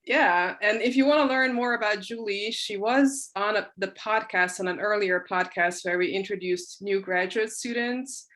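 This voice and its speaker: A woman's voice: mid-range voice, normal speed, hardly any pauses, fairly bright tone. A young woman hosting an interview podcast in an upbeat, friendly way. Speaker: podcast host.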